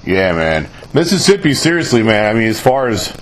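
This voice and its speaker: A man's voice: fairly low pitch, sing-song, moderate pace, slight background noise. Chatty man hosting an interview. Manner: enthusiastic, chatty, says "man" often. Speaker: interview host. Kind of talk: casual interview.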